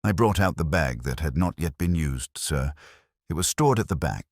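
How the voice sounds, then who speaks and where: A man's voice: very deep voice, lively intonation, normal speed, no room sound, studio quality, heavily acted. A man playing a nervous young church curate in a mystery story, faltering and deferential.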